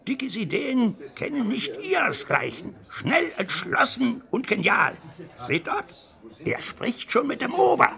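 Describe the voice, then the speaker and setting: Very gravelly, high, shrewd old crook. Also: male voice, huge pitch swings, unhurried, sharp tone, full character voice. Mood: confident. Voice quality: gravelly, raspy. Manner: declamatory, patronising. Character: shrewd, bossy older crook, fond mentor to the hero. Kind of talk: 1960s comic radio play acting.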